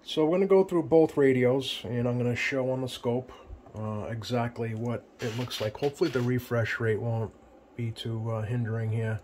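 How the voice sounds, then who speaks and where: Guy doing a casual workbench how-to, kinda lower-mid voice, stops and starts a lot, noticeable background noise. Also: fairly even intonation, a little mellow. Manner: plain-spoken, hesitant, pausing often mid-sentence. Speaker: CB radio repair technician presenting. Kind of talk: casual hands-on tutorial narration. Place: indoor electronics workbench. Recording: clear background noise, home workbench room.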